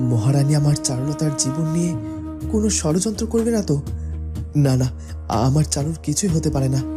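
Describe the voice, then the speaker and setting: A man's voice: on the high side, lightly acted. A man voicing an anxious, devoted young prince in a cartoon fairy tale, theatrical and worried.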